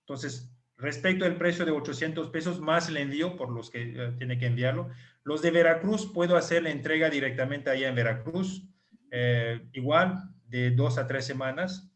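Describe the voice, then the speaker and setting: Man speaking Spanish as a second language. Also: on the high side. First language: not Spanish. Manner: patient, repeats numbers slowly. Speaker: clinic doctor. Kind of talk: online group talk.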